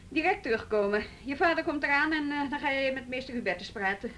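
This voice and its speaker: A woman's voice: high voice, quick pace, a bit bright, a little hiss or hum. A woman acting a firm, patient, businesslike juvenile police detective in a naturalistic radio drama.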